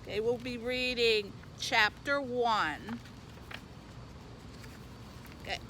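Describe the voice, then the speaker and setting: A woman's voice: fairly high pitch, slow pace, bright, forceful tone, slight background noise. A woman speaking as a youth librarian, presenting and reading a children's book aloud, recorded on location.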